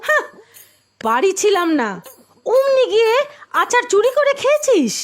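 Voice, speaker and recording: A woman's voice: very high voice, huge pitch swings, normal speed, full character voice. A woman voicing a spiteful, quarrelsome middle-aged village aunt in a cartoon, scolding and sneering. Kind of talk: cartoon voice acting.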